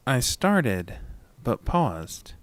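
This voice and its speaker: A man narrating a scripted first-person horror story as a dramatic, measured storyteller. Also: mid-range voice, very animated, no room sound.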